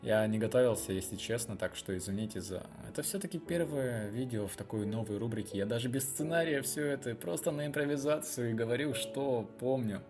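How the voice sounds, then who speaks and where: A man's voice: mid-range voice, fairly quick, few pauses, music in the background. A young man telling personal stories to viewers in a casual, unscripted way, chatty and rambling as he thinks aloud. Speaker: video blogger hosting his channel.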